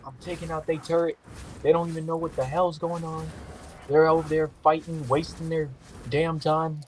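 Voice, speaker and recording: A man's voice: a little high, level tone, moderate pace, a little hiss or hum. Male gaming host, slightly nasal, loose and jokey, trash-talks his opponents. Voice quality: sometimes nasal. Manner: loose, joking, slangy trash talk. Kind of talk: casual gameplay commentary.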